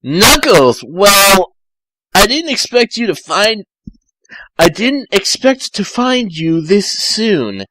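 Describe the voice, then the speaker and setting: A man voicing a brash, excitable young male cartoon hedgehog in an amateur fan fiction reading. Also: high-pitched, takes it slowly, no room sound, pitched above the performer's own voice, heavily acted, poor audio. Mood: awkward.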